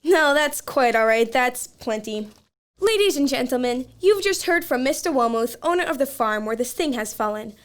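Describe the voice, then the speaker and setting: A woman's voice: high-pitched, animated, moderate pace. A woman playing an earnest, urgent radio news reporter in a radio play, with a formal announcer's delivery. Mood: probing, polite.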